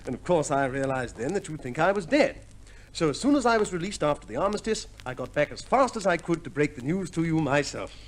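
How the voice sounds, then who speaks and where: An actor playing a jovial soldier husband home from the war, bantering and exclaiming in 1950s radio comedy style. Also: male voice, fairly high pitch, big pitch swings, a little fast. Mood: earnest. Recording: noisy old radio recording.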